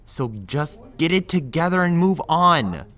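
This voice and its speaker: A blunt young friend handing out tough love, lecturing firmly in an audio drama. His voice is bright and forceful, with pitch that moves a lot. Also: animated, measured pace. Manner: firm, lecturing.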